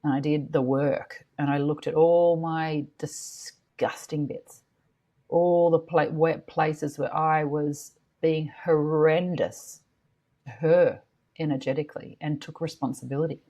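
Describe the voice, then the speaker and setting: A woman's voice: sits low, fairly even intonation, unhurried, mellow. A middle-aged woman speaking passionately and earnestly as a guest on a podcast interview, swearing casually now and then. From Australia. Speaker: guest, school co-founder.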